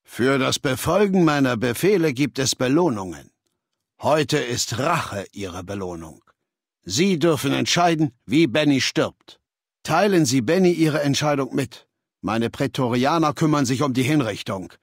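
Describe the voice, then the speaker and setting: An actor playing a ruthless, imperious male legion leader in a video game, with a measured, commanding, contemptuous manner. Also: medium pitch, expressive, husky, dry recording, studio quality. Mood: cold, commanding. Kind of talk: scripted game dialogue.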